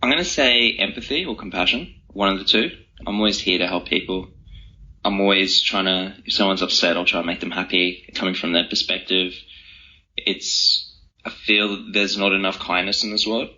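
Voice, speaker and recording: Man, interview guest, deep pitch, very sharp forceful tone, earnest, reflective, conversational, slightly lo-fi sound. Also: moderate pace.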